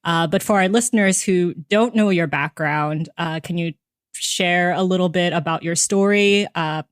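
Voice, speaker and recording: A woman's voice: lowish voice, normal speed, no room sound. Woman podcast host, close dry sound. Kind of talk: casual podcast interview talk.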